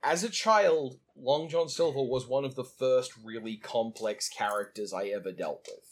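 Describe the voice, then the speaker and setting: A man co-hosting a casual podcast about an animated film, talking in a chatty, enthusiastic way. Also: mid-range voice, moderate pace. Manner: chatty, enthusiastic, acts out quotes. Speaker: podcast co-host.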